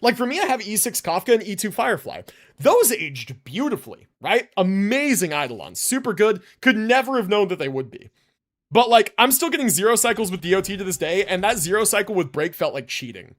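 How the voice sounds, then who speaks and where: A man livestreaming a video game with casual commentary, thinking out loud in slangy, sweary talk. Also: sits high, very animated, fairly quick. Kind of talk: casual gaming stream commentary.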